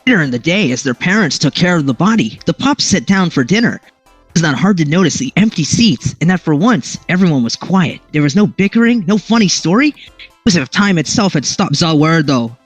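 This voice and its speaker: A man's voice: a little high, expressive, brisk, dry recording, soft background music. A man reading a story aloud expressively, mixed with playful, sweary banter. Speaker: amateur fan fiction reader.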